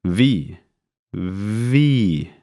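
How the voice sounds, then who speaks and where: Man, low voice, very slow, deliberate word-by-word drills, dry studio sound. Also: animated, warm tone, no echo. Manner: says each word twice, slow and deliberate. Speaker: German pronunciation speaker.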